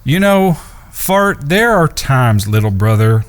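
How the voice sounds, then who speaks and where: A man playing a wry, thoughtful older brother and cowboy outlaw, speaking in a measured, folksy way in a western audio drama. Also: medium pitch, sing-song, clean tone. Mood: reflective, affectionate.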